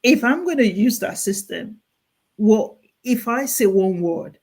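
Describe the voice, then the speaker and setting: A woman's voice: mid-range voice, animated, measured pace, gravelly, darkish tone, breathy edge. A woman with a Nigerian accent, a clinical nurse specialist speaking as a guest, explaining earnestly and often restarting her sentences. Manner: earnest, urging, many restarts and repetitions. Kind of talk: earnest explanatory interview talk.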